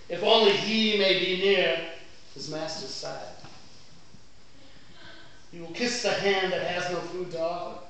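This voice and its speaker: Man playing courtroom lawyer, grandiloquent, declamatory, high-pitched, distant stage recording. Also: sharp tone. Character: impassioned male lawyer pleading to a jury. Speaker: stage actor. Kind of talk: theatrical stage oratory. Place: indoor theatre stage. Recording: echoey theatre, distant mic, faint noise.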